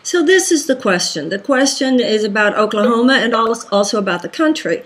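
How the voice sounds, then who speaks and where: A woman's voice: fairly high pitch, lively intonation, brisk, fairly bright tone. A woman from Texas hosting a political interview, asking earnest, emphatic questions. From Texas, USA. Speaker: interview host.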